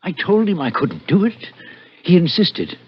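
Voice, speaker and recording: An actor playing an anguished, secretive professor in an early 1960s radio suspense drama, with a grave, fretful, dramatic delivery. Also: male voice, high voice, wildly swooping pitch, measured pace, slightly rough, exaggerated voice. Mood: confessional. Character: anguished, secretive male scientist, a disgraced professor. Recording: muffled old radio broadcast.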